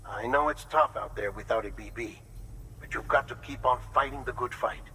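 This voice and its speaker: An earnest, caring researcher giving a hopeful update in a game cutscene. His tone is bright and forward, at an average pitch. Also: moderate pace, a little hiss or hum, rough recording. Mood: encouraging. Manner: earnest, encouraging briefing. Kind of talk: video game cutscene acting.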